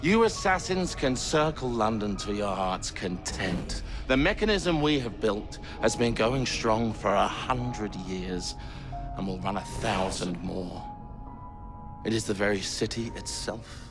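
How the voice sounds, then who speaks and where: Casting: arrogant older game villain, low voice, slow contemptuous delivery, music underneath. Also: male voice, expressive. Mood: contemptuous, menacing. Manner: measured, contemptuous. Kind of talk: video game cutscene acting.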